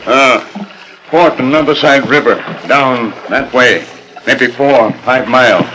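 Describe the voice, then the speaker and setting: A loyal, stoic scout in a 1940s radio western. He speaks in terse broken English at a middling pitch, on an old disc with some background noise. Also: unhurried, pushed and bright, low-quality recording. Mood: matter-of-fact.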